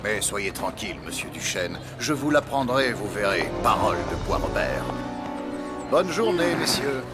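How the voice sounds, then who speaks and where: A man's voice: lowish voice, big pitch swings, unhurried. A man playing a smug cartoon villain who threatens with mock courtesy. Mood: smug, threatening. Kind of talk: dubbed cartoon acting. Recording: loud music over the voice.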